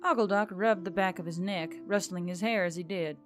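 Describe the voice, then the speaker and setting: A woman's voice: fairly low pitch, fairly quick, faint music behind. A woman reading scripted fiction as an audiobook-style narrator.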